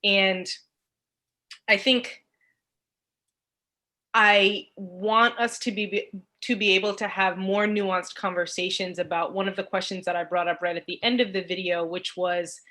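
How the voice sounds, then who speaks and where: A woman's voice: medium pitch, fairly even intonation, measured pace, bright, forceful tone. A woman speaking as a panelist in an unscripted academic discussion, thoughtfully searching for her words. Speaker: literature course panelist and teacher.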